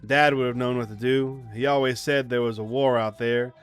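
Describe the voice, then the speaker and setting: Haunted rural boy telling his story for a visual novel read by a man, average pitch, unadorned halting read, music underneath. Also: steady tone, clear, resonant tone, natural voice. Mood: somber, reflective. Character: haunted country boy Runt recounting his childhood. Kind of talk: reading visual novel narration aloud.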